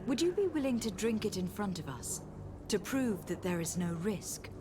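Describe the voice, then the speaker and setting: A woman playing a shrewd, skeptical adventurer in a video game, with measured, formal, challenging questioning. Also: mid-range voice, sing-song, music in the background, natural voice.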